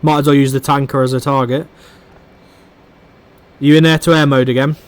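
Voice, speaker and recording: A man's voice: medium pitch, little pitch movement, normal speed. A man on a headset, casually teaching flight-sim controls step by step, his throat getting sore. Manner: informal, explains controls step by step, says mate. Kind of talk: casual gaming chat.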